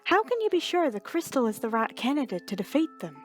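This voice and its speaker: A woman's voice: sits high, sing-song, fast talker, pitched above the performer's own voice. A woman voicing an earnest, folksy country mare pony in a fan fiction reading. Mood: earnest, questioning. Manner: folksy southern phrasing.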